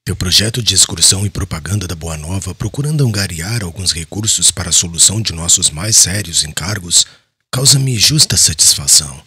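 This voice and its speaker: An actor playing a prudent, concerned apostle and former fisherman in an audiobook, raspy, measured and solemn. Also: sits low, lively intonation, sharp tone, dry recording, heavily acted. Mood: grave, concerned. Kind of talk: dramatized audiobook dialogue.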